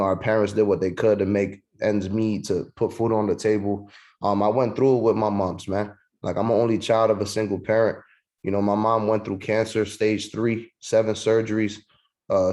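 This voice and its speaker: A man's voice: lowish voice, a little mellow. A man from Puerto Rico, giving a casual, slangy sales training over a video call. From Puerto Rico, raised in New York City. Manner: casual and slangy, calls listeners "man" and "bro". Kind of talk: online sales training talk.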